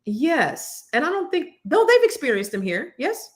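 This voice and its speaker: A woman's voice: sits high, measured pace. A woman hosting a casual talk show, chatting and laughing often as she tells stories. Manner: laughs often, animated storytelling. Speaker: podcast host. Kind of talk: casual chat.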